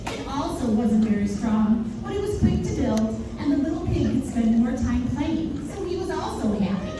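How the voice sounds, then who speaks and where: A woman reading a fairy tale aloud as live narration for a children's show, pausing for emphasis on key words. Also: high voice. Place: echoey room at a live preschool performance. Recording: distant, very echoey room with clear noise.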